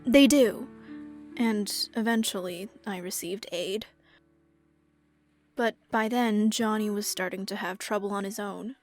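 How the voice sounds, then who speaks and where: A woman playing a gentle, resigned widowed mother and caregiver in a game dub, speaking in a subdued, reflective way. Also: medium pitch, measured pace, soft background music, close to an everyday voice.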